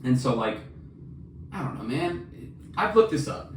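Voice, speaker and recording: A man's voice: mid-range voice, big pitch swings, a little slow. A man co-hosting a podcast, chatting casually about books in an enthusiastic, slangy, emphatic way. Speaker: podcast co-host. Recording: roomy echo, distant mic, faint noise.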